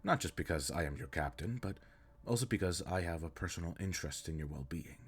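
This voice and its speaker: A man's voice: low voice, expressive, fast talker, slightly rough. A man playing an earnest, caring alien spaceship captain in an audio roleplay, speaking in stiff, formal, precise sentences. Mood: tender concern. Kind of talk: scripted roleplay audio drama, addressing the listener.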